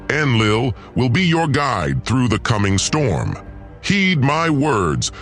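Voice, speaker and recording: A man's voice: medium pitch, a bit bright, full character voice. A man voicing an arrogant, scheming god-lord in an audio drama, pompous, commanding and theatrical. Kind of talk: first-person audio drama acting.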